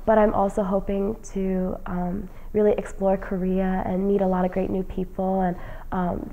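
A woman's voice: medium pitch, warm tone, low-quality recording. A young woman from New Jersey, a graduating college senior, answering interview questions in a casual, earnest way with many "um" fillers. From New Jersey, United States. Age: young adult. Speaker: graduating senior, fellowship recipient. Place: indoor lounge with plants and leather chair.

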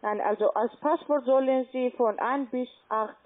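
High-voiced woman with a foreign accent, phone line. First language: not German. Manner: formal, repetitive, halting. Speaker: call-centre agent. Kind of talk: phone instructions. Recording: muffled, tinny phone line.

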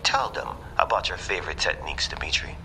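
An actor playing a smug, menacing kidnapper who gloats and taunts in an audio drama. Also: male voice, deep voice, animated, fast talker, gravelly, background noise. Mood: gloating menace.

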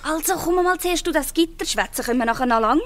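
A woman with a Swiss German accent playing a bold, bossy teenage girl gang leader in a radio play, brisk and commanding. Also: high-pitched, animated, crowd noise. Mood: urgent, bossy. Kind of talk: radio play acting in dialect.

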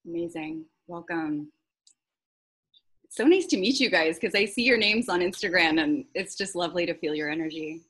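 A woman's voice: on the low side, normal speed, fairly bright tone, slightly rough. A woman hosting an online group workshop on a video call, speaking informally in an encouraging, affirming way. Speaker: workshop host.

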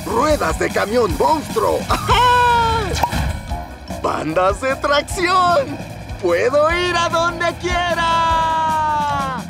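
Vagón entusiasta de voz agudísima. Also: male voice, very high-pitched, sing-song, very slow, creaky, over loud music, exaggerated voice. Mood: excited pleading. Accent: Latin American Spanish. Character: eager, pleading old abandoned male railway coach. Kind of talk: animated children's cartoon dubbing.

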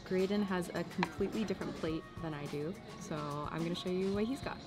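A woman's voice: lowish voice, fast talker. A woman in her twenties chatting casually to camera as a vlog host, with an upbeat, exclaiming manner. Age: 20s. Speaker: vlog host, cruise ship crew member. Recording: music behind the voice. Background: background music.

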